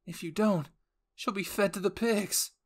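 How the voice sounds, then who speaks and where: A man performs a young male soldier in an anime roleplay. His voice sits higher, strained and urgent, as if bargaining under threat. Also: unhurried. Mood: taunting, tense. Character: young male soldier, anxious, pleading negotiator.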